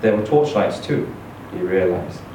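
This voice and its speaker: A man reading a short story aloud in an expressive, theatrical, emphatic storytelling style. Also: low voice, a little slow. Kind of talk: expressive live literary reading. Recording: echoey room, distant mic, faint noise.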